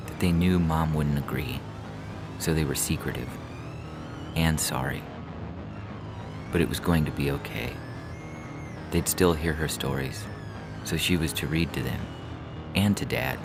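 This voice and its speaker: Man, extremely deep pitch, scripted story narration, podcast reader, clear music bed behind. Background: background music.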